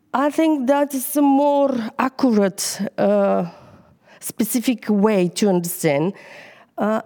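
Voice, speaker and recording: A woman with a Bulgarian accent speaks slowly and haltingly to a church group, full of fillers and restarts. Her voice is dark and mellow. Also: medium pitch. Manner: hesitant, many fillers. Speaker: church member giving a talk. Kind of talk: informal sermon.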